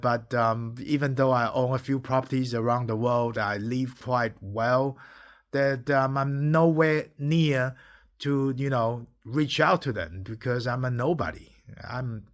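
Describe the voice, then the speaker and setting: A man's voice: medium pitch, a little slow. A man in his forties from Taiwan, a native Taiwanese and Mandarin speaker talking English, who speaks in an emphatic, preachy way, keeps asking "okay?" and swears now and then. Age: 40s. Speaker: entrepreneur vlogger. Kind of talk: impassioned monologue to camera.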